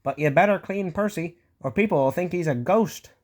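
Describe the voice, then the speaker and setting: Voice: mid-range voice, normal speed, pitched above the performer's own voice, close to an everyday voice. A storybook reader voicing a friendly, joking male railway signalman. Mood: wry.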